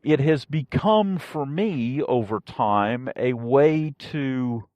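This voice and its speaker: Male podcast host, folksy and halting, animated pitch, dry lo-fi recording. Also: no echo. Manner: halting, rambling, searching for words. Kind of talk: casual solo podcast monologue. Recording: dull, dry lo-fi audio.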